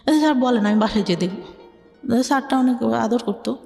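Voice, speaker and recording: A young woman with a Bangladeshi Bengali accent tells her life story to an interviewer, speaking softly and hesitantly with many filler words. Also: on the high side, fairly quick, creaky, in a large hall, soft background music. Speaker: interview guest. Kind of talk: personal life story in conversation.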